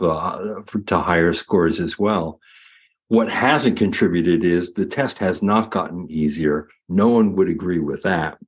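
A man with a low, husky voice hosts a casual panel discussion. He talks through statistics step by step in a relaxed, conversational manner before handing off to a guest. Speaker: host moderating a panel.

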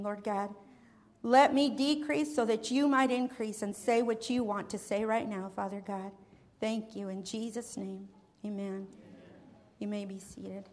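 High-voiced woman preaching a sermon. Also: fairly bright tone. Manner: earnest, measured preaching with repeated invocations. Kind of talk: sermon with prayer. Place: church sanctuary.

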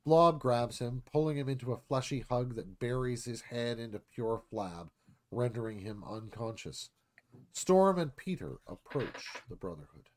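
A man reads screenplay directions as a narrator in a live performance. His voice is mid-pitched and lively, moving up and down with the action. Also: unhurried. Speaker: narrator reading stage directions.

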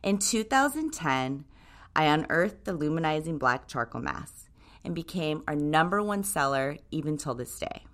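Upbeat woman hosting a podcast, low voice. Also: very animated, moderate pace. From Japan. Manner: upbeat, enthusiastic delivery. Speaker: podcast host, brand co-creator. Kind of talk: scripted podcast monologue.

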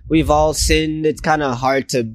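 A man's voice: fairly high pitch, little pitch movement, normal speed, no room sound, studio quality. A nineteen-year-old man giving brief, hesitant answers in a casual street conversation. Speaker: interviewee.

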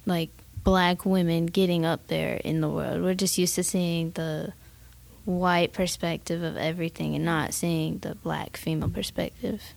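A teenage girl in a casual panel discussion, speaking softly and hesitantly and trailing off. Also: lowish voice, little pitch movement, measured pace, creaky.

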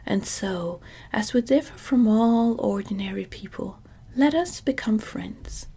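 A woman softly whispering the lines of a pompous male scarecrow king in a storybook reading. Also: mid-range voice, animated, a little slow, creaky, husky, heavily acted. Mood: lecturing.

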